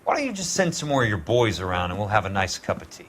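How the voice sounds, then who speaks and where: An actor in a noir video game playing a tough, sardonic, principled ex-military investigator with wry, clipped delivery. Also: male voice, lowish voice, sing-song, quick pace, fairly bright tone, slight background noise. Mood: wry, defiant. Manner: wry, hard-boiled, clipped.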